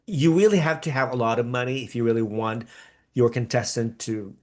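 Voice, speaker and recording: A man's voice: mid-range voice, a little fast, darkish tone. Man, born in the Philippines, live-stream host, rambling, upbeat. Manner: chatty and rambling, many restarts, upbeat bursts. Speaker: podcast host reacting live to a pageant. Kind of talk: live-stream reaction chat reading viewer comments.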